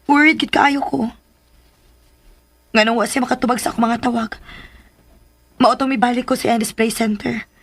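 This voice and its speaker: A woman's voice: fairly high pitch, fairly even intonation, normal speed, pauses often, slightly rough. A woman with a Cebuano Filipino accent playing a sensitive, lovesick young daughter confiding in her mother in a radio drama. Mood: hurt. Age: young adult. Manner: plaintive, confiding.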